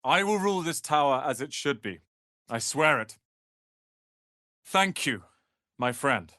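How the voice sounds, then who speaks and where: Proud, prickly young wizard's apprentice, dry studio sound. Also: male voice, a little high, animated, measured pace, pushed and bright, no echo. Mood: resolute, then subdued, sincere. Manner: haughty, clipped, theatrical delivery. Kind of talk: dramatic fantasy video game voice acting.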